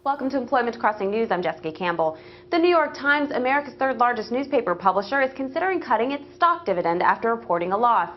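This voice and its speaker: A woman's voice: fairly high pitch, lively intonation, fast. A woman presenter reading a scripted news bulletin in a lo-fi clip with some hum.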